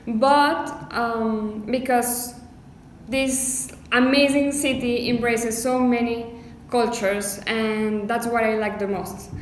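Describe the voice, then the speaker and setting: Colombian-born woman, fairly high, unhurried voice, enthusiastic, roomy sound. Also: a little hiss or hum. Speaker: master's student, designer. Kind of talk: casual interview. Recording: echoey room, faint ambience.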